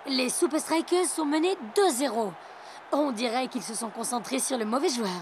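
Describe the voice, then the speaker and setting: A woman in a French cartoon dub playing a soccer co-commentator with a crisp announcer delivery. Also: high voice, normal speed, noisy background, close to an everyday voice. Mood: keen. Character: female soccer match co-commentator.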